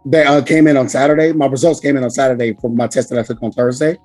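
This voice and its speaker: A man hosting a casual podcast chat with a hoarse, raspy voice from screaming, talking loudly and emphatically with lots of swearing. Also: mid-range voice, steady tone, fast talker, faint music behind. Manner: loud, profane, emphatic. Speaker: podcast host.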